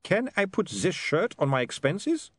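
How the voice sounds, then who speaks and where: Man voicing a chipper high-pitched male vampire. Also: sing-song, a little slow, a bit bright, breathy edge, no room sound, full character voice. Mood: wryly chipper. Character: cheerful, unflappable male vampire, politely fussy. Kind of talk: audiobook character dialogue.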